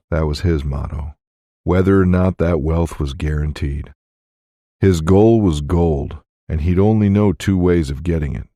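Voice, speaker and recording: A man narrating scripted fiction as an audiobook, in a measured storytelling manner. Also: a bass voice, dark tone, dry recording, studio quality.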